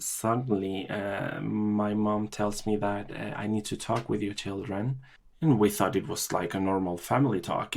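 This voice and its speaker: A middle-aged man from Chile, a podcast guest telling his life story in a halting way, with many restarts and fillers. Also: fairly low pitch, vocal fry. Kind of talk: personal storytelling interview.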